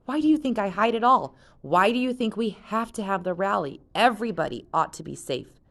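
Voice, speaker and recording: A woman's voice: mid-range voice, animated, a little fast, vocal fry, a bit bright, pitched above the performer's own voice. A woman reading aloud, voicing a confident, chatty teenage girl who brushes off worries. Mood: breezy. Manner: breezy and dismissive.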